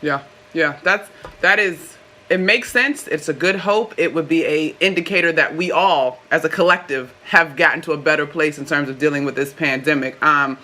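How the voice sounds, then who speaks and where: A woman co-hosting a sports interview, putting questions in a measured, articulate, conversational way. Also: deep voice, bright, forceful tone. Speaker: sports show co-host.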